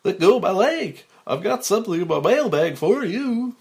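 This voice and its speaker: An exaggerated children's television mailman, as acted out by a man reading a script. He is loud and gleeful, pitched up above ordinary talk, with a corny seventies show-host delivery. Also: big pitch swings, normal speed. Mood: excited, loud. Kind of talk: 1970s children's TV show acting.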